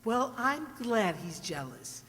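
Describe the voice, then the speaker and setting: A woman's voice: a little high, very animated, takes it slowly, fairly bright tone, heavily acted. A woman playing a breezy, wisecracking wife in a comic radio play, with a playful, teasing manner. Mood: smug, pleased. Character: breezy, wisecracking wife posing for her portrait. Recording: reverberant hall stage recording.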